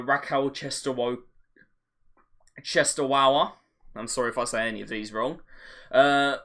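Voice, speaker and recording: A man hosting a live watch-along, commentating on a match as a fan, his voice getting a little raspy. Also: pushed and bright. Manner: partisan, rises sharply on chances, drops low when play slows.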